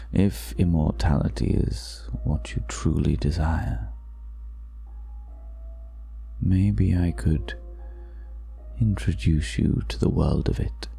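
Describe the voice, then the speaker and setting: Man as a very deep, gravelly seductive vampire. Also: dark tone, heavily acted. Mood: tempting. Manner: slow, breathy half-whispered delivery with lingering pauses. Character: seductive ageless male vampire, teasing, menacing, worldly. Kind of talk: intimate ASMR roleplay, acted monologue. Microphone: close microphone.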